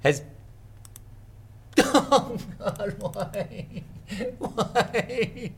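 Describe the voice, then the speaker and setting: An actor playing a bitter, sarcastic novelist stuck in an office job, rambling and melodramatic in a satirical audio drama. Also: male voice, on the low side, big pitch swings, a little fast, gravelly. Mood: wounded, self-mocking.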